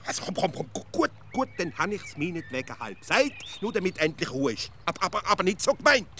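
Over clear background noise, a stubborn Swiss simpleton for a comic radio play. His lively, swinging delivery keeps catching and stammering. He sounds flustered and eager to justify himself, like a small-town philosopher out of his depth. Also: high-pitched, heavily acted. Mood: indignant, flustered. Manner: stammering, halting, indignantly self-justifying.

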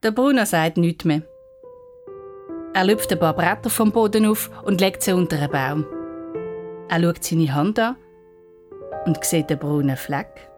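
A woman's voice: on the low side, measured pace, pauses often. A woman narrator reading a scripted children's story. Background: music.

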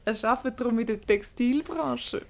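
A woman's voice: fairly high pitch, normal speed, vocal fry, darkish tone. A woman with a Swiss German accent playing a clever, teasing, unbothered wife in a radio comedy, coolly mocking. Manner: mocking, coolly self-assured.

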